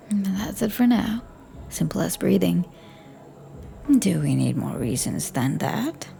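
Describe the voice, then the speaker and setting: A woman performing a scripted audio roleplay as a flirty, teasing bartender, speaking softly and often near a whisper. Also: on the low side, very animated, takes it slowly, vocal fry.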